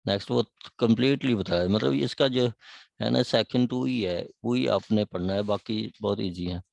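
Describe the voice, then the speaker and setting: A man with a Pakistani accent explaining a law text, reading the English wording slowly and then explaining it in his own words with frequent check-ins. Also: on the low side, gravelly, a little mellow, no room sound. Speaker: law lecturer.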